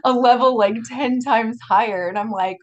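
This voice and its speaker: A woman's voice: a little high, moderate pace, muffled recording. A woman hosting a podcast, speaking in a casual, conversational interview style with effusive enthusiasm.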